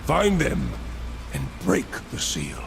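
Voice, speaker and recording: An actor playing a grim, imperious otherworldly figure in a video game, commanding and theatrical. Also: male voice, mid-range voice, huge pitch swings, takes it slowly, vocal fry, background noise, heavily acted. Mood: commanding, urgent. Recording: loud background music.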